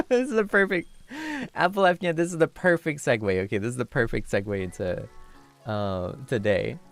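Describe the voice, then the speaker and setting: Young man, casual stream chat, gravelly low tone, swings up and down in pitch, soft music behind. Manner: laughing often, thanking viewers warmly, mixing in Japanese words; shifts between low chill voice and high voice.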